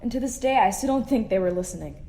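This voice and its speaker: A woman playing a stern but fair starship commander in a sci-fi audio drama, speaking in a brisk, dry way. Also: a little high, lively intonation, dark tone. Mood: wry.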